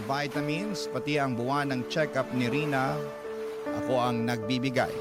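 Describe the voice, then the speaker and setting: An earnest man reads a confessional letter in a scripted drama. His voice sits at a middle pitch, and loud music plays behind him throughout. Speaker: narrator reading a letter. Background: music bed.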